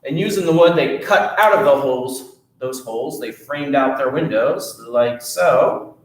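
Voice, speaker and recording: A man telling a children's story at a library storytime, animated and playful, chatting with his young listeners. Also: medium pitch, measured pace, roomy sound, low-quality recording.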